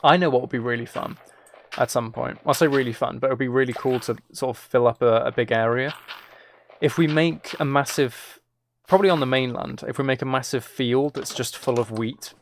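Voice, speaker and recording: Creaky-voiced man chatting on a gaming stream. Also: medium pitch, fairly quick, slight background noise. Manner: rambling, self-deprecating. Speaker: live streamer. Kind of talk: casual gaming livestream chat, commentary.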